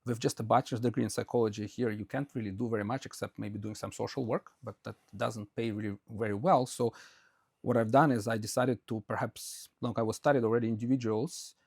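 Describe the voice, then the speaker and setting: A man's voice: mid-range voice, steady tone, fairly quick, gravelly, a little mellow, dry recording, clean studio sound. A Russian-born man, a native Russian speaker, answering interview questions about his life in a rambling way with many fillers and restarts. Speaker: podcast guest. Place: Quebec, Canada.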